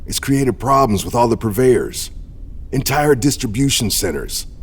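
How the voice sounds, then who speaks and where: An actor playing a cautious, dutiful intelligence agent, measured and deferential, in an audio drama. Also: male voice, mid-range voice, animated, husky. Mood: guarded, troubled.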